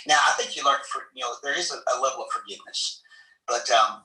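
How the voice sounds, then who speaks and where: An earnest man who talks haltingly and circles back on his own words, with a piercingly bright, tense tone. He sits away from the mic in an echoing room. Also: medium pitch. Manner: halting, repeats himself, earnest. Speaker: guest speaker in audio room. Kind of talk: live audio room chat. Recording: roomy, distant mic.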